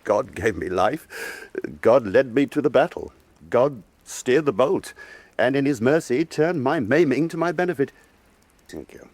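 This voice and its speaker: Formal fussy freakshow man, precise and dignified, lively swooping pitch, dry radio drama. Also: measured pace, dry recording. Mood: guarded. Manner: formal, precise, pompous showman. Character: maimed, fussy, dignified male human curiosity selling views of wounds. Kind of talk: full-cast radio drama acting.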